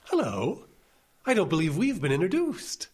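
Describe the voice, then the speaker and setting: A man's voice: high voice, wildly swooping pitch, moderate pace, darkish tone, husky, exaggerated voice. A man playing a genial, eccentric older doctor in an audio comedy drama, courtly, effusive and whimsical. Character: genial eccentric old doctor who takes dictation from ghosts.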